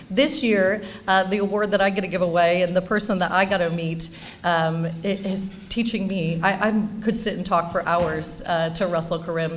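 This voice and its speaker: A woman's voice: on the low side, a little hiss or hum. A woman banker presenting an award in an informal, enthusiastic, anecdotal speech at a ceremony.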